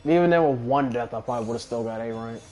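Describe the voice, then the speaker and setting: A man's voice: mid-range voice, fast talker, mellow, faint music behind. A man casually commentating on a video game as he plays, grumbling and swearing. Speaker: gamer commentating on own play.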